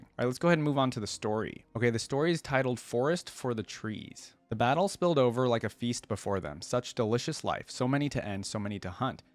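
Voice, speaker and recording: A man's voice: mid-range voice, no echo. A man hosting a reaction video, switching between casual commentary and reading aloud.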